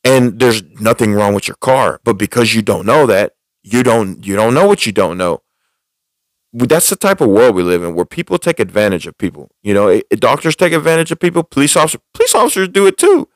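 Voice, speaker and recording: A man's voice: medium pitch, sing-song, brisk, dry recording, clean studio sound. A man hosting a podcast, telling personal stories and venting casually, often repeating and restating his phrases for emphasis. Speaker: podcast host.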